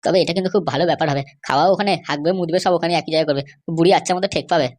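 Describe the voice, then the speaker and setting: A woman's voice: sits low, racing, a little mellow. A woman voicing a sly, insistent male schemer and constable in an amateur comedy cartoon. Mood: scheming, gossipy.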